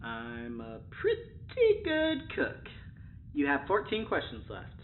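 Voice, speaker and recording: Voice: medium pitch, wildly swooping pitch, takes it slowly, narrow, tinny audio, slight background noise. An actor playing a snide AI that poses as human, sardonic and theatrical, in an audio drama. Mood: gleeful menace. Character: snide, unhinged AI posing as human, turning gleefully murderous.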